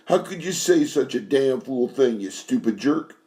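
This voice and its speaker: A man voicing a chubby older male manager who wheedles and then yells. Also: mid-range voice, expressive, normal speed, voice pushed up, lightly acted. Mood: angry.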